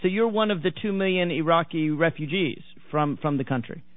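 A man's voice: a little high, brisk, dry recording. A man hosting a radio interview show, talking in a conversational, wry way. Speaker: radio talk show host. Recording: dry, phone-like narrow sound.

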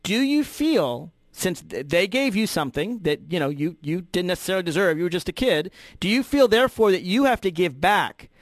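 An American male talk show host with a fairly high voice in a dry, close space. He speaks conversationally but emphatically, building his points with rhetorical turns like a political commentator. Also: very animated, brisk, hardly any pauses, no echo. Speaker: radio call-in show host.

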